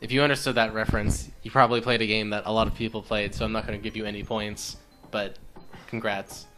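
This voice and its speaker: A man's voice: on the low side, flat delivery, quick pace, bright, forceful tone. A man co-commentating on a video game in casual chat, with a wry, joking manner. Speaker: co-commentator of a let's play. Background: video game music.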